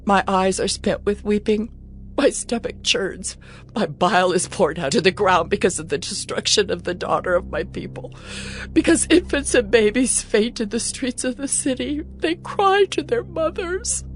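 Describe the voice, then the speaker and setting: A woman's voice: mid-range voice, animated, moderate pace, a little hiss or hum, natural voice. A woman playing a grieving woman of Jerusalem, pleading solemnly and with passion in a dramatized scripture reading. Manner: solemn, impassioned lament.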